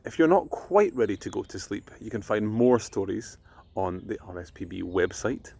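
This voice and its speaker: Low, creaky-voiced Scottish man. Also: expressive, normal speed, darkish tone. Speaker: presenter reading a bedtime story. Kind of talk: children's bedtime storytelling and friendly address to viewers.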